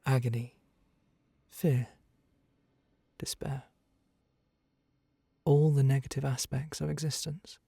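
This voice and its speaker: A man voicing an ancient, immortal monster under the bed, speaking in a slow, brooding, gravelly ASMR horror roleplay monologue. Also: mid-range voice, steady tone, warm tone.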